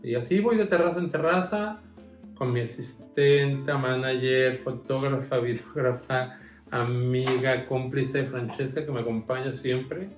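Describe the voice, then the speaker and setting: A man's voice: mid-range voice, fairly even intonation, measured pace. Man in an echoey room. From Mexico. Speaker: drag queen street performer. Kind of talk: personal interview talk. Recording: echoey room with music behind.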